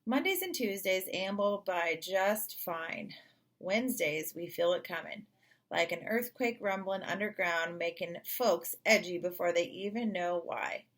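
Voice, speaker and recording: A woman narrating a children's story in an expressive read-aloud style. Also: fairly low pitch, a little slow. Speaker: storybook reader.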